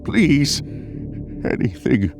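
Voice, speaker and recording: A man's voice: mid-range voice, big pitch swings, drawn-out words, music in the background, heavily acted. A man playing a desperate, fearful ancient emperor who pleads and frets in a dramatic audio story. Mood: pleading. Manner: pleading, agitated.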